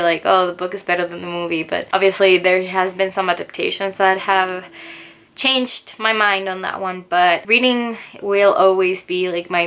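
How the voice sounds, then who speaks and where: A young woman whose first language is Spanish talks easily to camera at home, restarting her sentences often. Her pitch sits mid-range for a woman and her tone stays laid-back and unhurried. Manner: chatty, relaxed, with frequent restarts and fillers. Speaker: booktuber. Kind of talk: casual chat to camera. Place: home, indoors.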